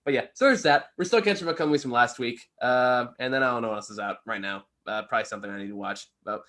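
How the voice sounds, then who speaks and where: A man's voice: medium pitch, quick pace, a bit bright. A man co-hosting a casual, unscripted review chat, talking in a wry and rambling way. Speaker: movie reviewer, co-host.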